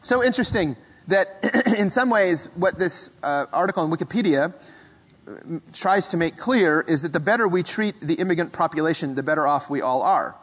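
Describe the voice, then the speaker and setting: A man from the Philippines preaching a sermon in a measured, reflective way, often clearing his throat. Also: a little high, animated, fairly bright tone, long reverb tail, poor audio. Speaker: pastor.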